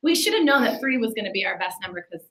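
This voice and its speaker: A woman's voice: on the high side, big pitch swings, racing, a bit bright, echoey room. A woman co-hosting a podcast who encourages and coaches her co-hosts through a song in a studio. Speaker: podcast co-host. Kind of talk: casual chat, singing a comic song with co-hosts.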